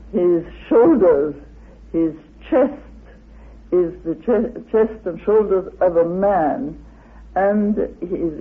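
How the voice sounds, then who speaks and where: A woman explaining ancient myths in a halting, deliberate way, repeating phrases, on a muffled old radio recording. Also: on the low side, breathy edge. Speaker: interviewed expert on ancient myths. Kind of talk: explaining, interview monologue.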